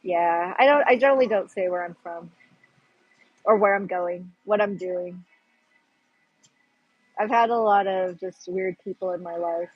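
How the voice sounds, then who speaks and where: A woman's voice: fairly low pitch, a little slow, long pauses, slightly rough, no echo, lo-fi sound. A woman from the Pacific Northwest with an American accent of that region, chatting on a livestream and rambling as she searches for words. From Pacific Northwest, United States. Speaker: livestream host. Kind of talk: casual livestream chat.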